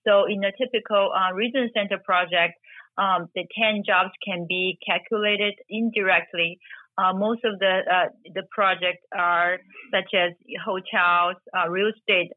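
A woman's voice: mid-range voice, fairly even intonation, a little slow, no room sound. A woman from China, a Chinese and Korean speaker, in her forties, explaining immigration law as a guest, with many hesitations. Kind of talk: interview answers. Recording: phone line.

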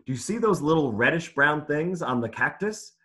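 Male read-aloud teacher for young kids: bright, expressive pitch, clear instructions, encouraging delivery. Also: moderate pace. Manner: instructive, simple phrasing for young children, encouraging. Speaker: author and teacher reading aloud. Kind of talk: interactive children's read-aloud lesson over video call.

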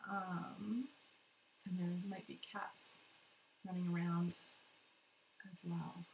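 An American woman in her thirties with a cold, hosting a casual livestream in an informal, halting way. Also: on the low side, flat delivery, gravelly, a little mellow, a little hiss or hum, low-quality recording. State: sniffly with a cold. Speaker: livestream host, national director of an advocacy group. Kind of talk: casual livestream chat to viewers. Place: corner of a kitchen in a house, indoors.

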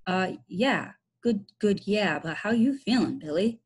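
A woman's voice: mid-range voice, lively intonation, measured pace, slightly rough. A woman voicing a nervous, wary young boy in a storybook, hesitant and stammering, repeating words. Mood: worried. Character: nervous, wary boys urging on and doubting their friend.

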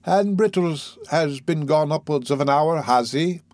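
A dignified old lady who speaks slowly and with quiet poise, as a male reader puts her on. Her lines come measured and unhurried. The recording is dry and close. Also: a little high, no room sound, lightly acted. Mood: calm. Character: dignified elderly lady of the house.

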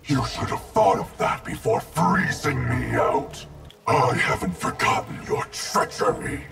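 An actor playing a cold, vengeful undead ninja in a fighting game, with a gravelly, distorted growl and threatening delivery. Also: male voice, mid-range voice, animated, a little slow. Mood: cold anger. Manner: threatening, declamatory. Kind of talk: fighting game character dialogue. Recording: loud music behind.

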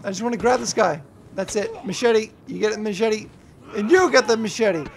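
Drunk, gravelly high-voiced young streamer. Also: male voice, animated, normal speed, slight background noise. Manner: rambling and heavy swearing, yelling at the game. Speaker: YouTuber and streamer. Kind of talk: gameplay livestream commentary.

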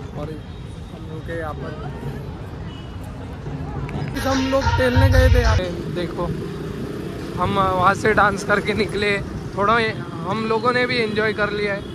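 A young man with a slightly hoarse voice narrating a casual motovlog to his viewers, chatty and friendly, calling them guys and brother. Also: high voice, a little slow, long pauses, over a noisy crowd. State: hoarse from dust. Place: outdoors on busy city streets with traffic. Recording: noisy outdoor street recording. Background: traffic and motorcycle engine noise.

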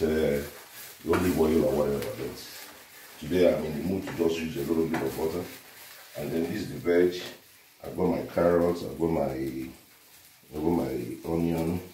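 A man's voice: rumbling low, background noise. An older man chatting as he cooks in his home kitchen, repeating himself and boasting of his dishes. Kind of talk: casual cooking video. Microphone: phone camera held by another person. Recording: roomy kitchen, mic a bit far.

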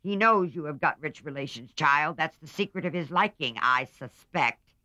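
A man reading aloud, voicing a stern, disapproving old aunt in a sharp manner. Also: on the high side, expressive, moderate pace, voice pushed up. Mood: suspicious. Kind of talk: audiobook character voice. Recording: slightly muffled dry recording.

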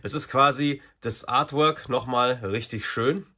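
Casting: male reviewer, forties, a little husky, informal and enthusiastic fan chatter. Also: mid-range voice, unhurried, bright, forceful tone. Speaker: video reviewer. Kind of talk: casual unboxing review to camera.

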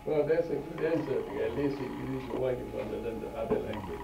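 A man leads a question session at a club meeting, halting and full of fillers. His voice comes from far off in an echoey room. Steady noise sits behind him. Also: medium pitch, gravelly. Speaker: table topics master.

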